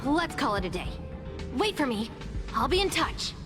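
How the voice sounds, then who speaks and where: A woman's voice: sits high, very animated, moderate pace. An actor playing a breezy, quirky young female researcher in a video game, casual and musing. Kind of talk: acted video game dialogue. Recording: music behind the voice.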